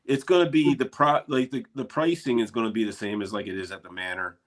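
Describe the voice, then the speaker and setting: A man's voice: medium pitch, fairly even intonation, fast talker, a loud crowd. An American man chatting casually in a rambling, laid-back way, telling stories as a paranormal investigator. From eastern United States. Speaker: paranormal investigator and show cast member. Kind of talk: casual chat.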